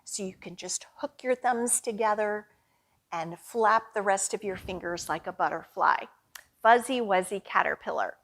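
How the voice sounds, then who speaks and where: A woman's voice: mid-range voice, moderate pace, fairly bright tone. A woman librarian hosting a storytime, speaking simply and directly to young children as she presents stories and activities. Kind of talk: children's storytime presentation and picture book read-aloud.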